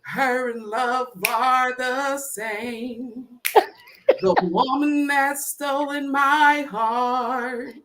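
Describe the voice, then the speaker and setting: Woman, preaching cadence, high and bright, calling out to listeners. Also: fairly even intonation, very slow, slight background noise. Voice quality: deep voice. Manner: rhythmic, repetitive preaching cadence with call-outs to the crowd. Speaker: motivational speaker. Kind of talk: spoken-word inspirational talk.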